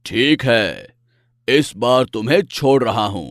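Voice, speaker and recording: A man's voice: mid-range voice, lively intonation, slow pace, no echo, studio quality. Man voicing a blustering cartoon lion king. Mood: bossy, threatening. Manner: demanding, blustering. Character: bullying boastful lion king, cowed and whining once beaten.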